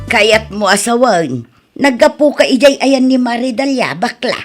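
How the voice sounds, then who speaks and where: An envious, stubborn village housewife played by an actress in an Ilocano comedy radio drama. Her voice is fairly high, with an Ilocano accent. She sounds snide, scoffing and insistent. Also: lively intonation, unhurried, over music. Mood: curious.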